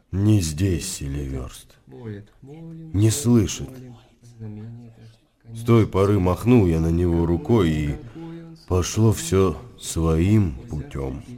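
A stern, weary old abbot in a radio play. His voice is very low and dark, and he speaks slowly and gravely, weighing each phrase. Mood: stern, resigned. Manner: grave, deliberate, pausing. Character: stern, weary monastery abbot with fatherly pity. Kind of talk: radio drama acting.

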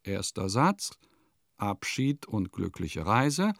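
An actor playing a vain, eccentric composer who muses theatrically and pompously in a radio play monologue. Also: male voice, on the low side, sing-song, slow pace, vocal fry, dry recording, clean studio sound, heavily acted. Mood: grandiose, self-satisfied. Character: vain, eccentric male composer musing in his diary.

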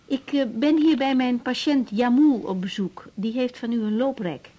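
A woman's voice: on the high side, moderate pace, warm tone, highs cut off, lightly acted. An actress playing a caring, informal young female doctor in a naturalistic radio drama. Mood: concerned, polite. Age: young adult.

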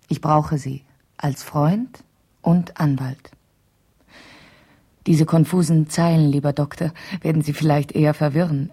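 A resolute, genteel wife of about thirty in a seventies radio play. Her voice is low, soft-toned and husky. She delivers her letters in a measured, formal way. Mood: earnest, confiding. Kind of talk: 1970s German radio play, letter monologue.

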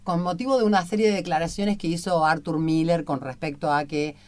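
A woman's voice: lowish voice, steady tone, fairly quick, a little mellow, no echo. A woman interviewer speaks Argentine Spanish in a conversational way. She builds long, searching questions out of literary vocabulary, feeling her way through each thought.

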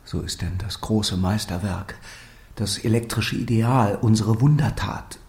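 A man's voice: on the low side, measured pace, darkish tone, reverberant. A man playing an earnest, impatient young aristocrat in a German audio drama, speaking formally and solemnly.

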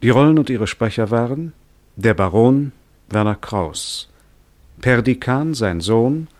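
A man reading formal scripted credits as a radio announcer, in a measured, precise manner. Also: mid-range voice, expressive, darkish tone.

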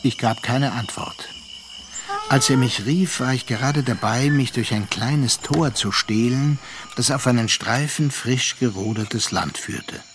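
An earnest, troubled man played by an actor in a radio drama, speaking deliberately at a medium pitch. Clear noise and chirping insects sit behind his reflective voice. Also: lightly acted. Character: earnest, troubled, reflective male narrator and confidant. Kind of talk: radio drama acting and narration.

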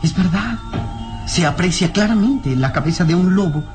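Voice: medium pitch, expressive, moderate pace, music in the background, close to an everyday voice. A performer playing an inquisitive, respectful young boy sidekick in an old-time radio drama. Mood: curious. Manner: eager, inquisitive.

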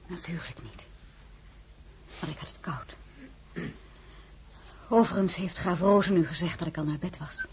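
A woman playing a composed, plain-spoken highborn lady in a radio drama, formal and courteous. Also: normal speed, long pauses, darkish tone, slight background noise, close to an everyday voice. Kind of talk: Dutch-language radio drama acting.

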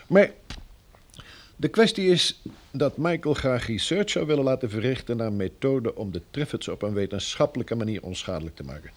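A man's voice: medium pitch, expressive, normal speed, lightly acted. Guy acting polite but firm pioneer envoy in a radio play, formal, persuasive, super varied intonation. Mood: courteous, persuasive. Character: courteous, firm male envoy of a pioneer community.

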